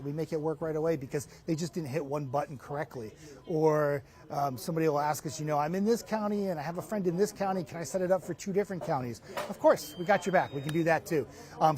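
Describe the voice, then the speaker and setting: A man's voice: a little high, a little mellow. A middle-aged man working as a TV meteorologist, explaining conversationally and emphatically in a live interview on a lapel mic. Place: inside a hardware store, live remote.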